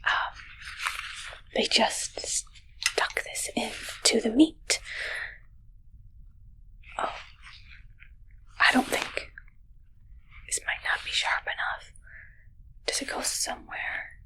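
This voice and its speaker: A woman voicing an awkward alien posing as a medic in a whispered ASMR roleplay, with halting, broken speech and a puzzled manner. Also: a little high, pushed and bright, exaggerated voice, rough recording. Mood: puzzled, tentative.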